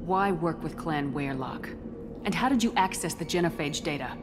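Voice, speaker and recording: An actress playing a tough, commanding military officer in a video game, speaking firmly and directly. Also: female voice, low voice, expressive, normal speed. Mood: firm, questioning. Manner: firm, direct. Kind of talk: dramatic video game acting. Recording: loud music behind the voice.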